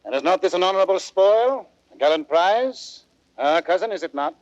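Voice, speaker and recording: A brooding, imperious king in a classical Shakespearean radio drama. His voice sits a little high and is delivered in grand, formal verse. The sound is dry and somewhat dull, like an old recording. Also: lively intonation, moderate pace, no echo. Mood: envious, wistful. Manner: declamatory, formal. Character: weary, proud king and troubled father, brooding and imperious. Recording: dull vintage audio recording.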